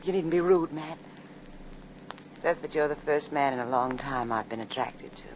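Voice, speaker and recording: An actress playing a seductive, lovelorn communist comrade in a 1950s radio drama, languid and coaxing. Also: female voice, low voice, moderate pace, natural voice. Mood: yearning. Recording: muffled, hissy 1950s radio broadcast.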